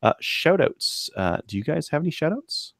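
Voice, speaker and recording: A man's voice: a little high, big pitch swings, normal speed, creaky, fairly bright tone, no echo, clean studio sound. A man with a Canadian accent co-hosting a casual podcast discussion, explaining things in a rambling, joking way. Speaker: podcast co-host.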